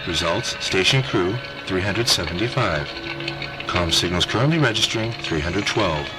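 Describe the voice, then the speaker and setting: Man voicing a deep, emotionless starbase computer. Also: normal speed, low-quality recording. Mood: impassive. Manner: flat, formal reporting. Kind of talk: science-fiction audio drama acting. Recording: loud music bed under the voice.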